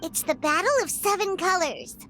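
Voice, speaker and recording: A woman's voice: very high voice, expressive, moderate pace, a bit bright, faint music behind. A woman voicing a small, perky, childlike fairy companion in a video game, with a chirpy, eager manner. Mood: perky, excited. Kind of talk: scripted game dialogue.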